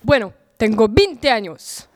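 Teen girl doing a boastful old knight in a radio play, kinda high, pompous n excitable, dry recording. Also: measured pace, no echo. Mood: insistent. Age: teenager. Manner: pompous, self-admiring. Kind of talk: student audio drama acting.

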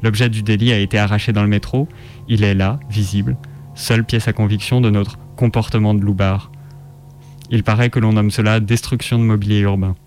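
Male narrator for radio fiction, lowish voice, dramatic first-person reading, faint music underneath. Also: flat delivery, a little fast, a little mellow.

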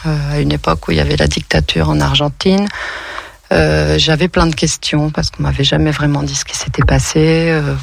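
A woman's voice: sits low, animated, a little fast, creaky, a little hiss or hum. A middle-aged woman poet, a radio guest, telling her own story thoughtfully with frequent fillers. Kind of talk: casual radio conversation.

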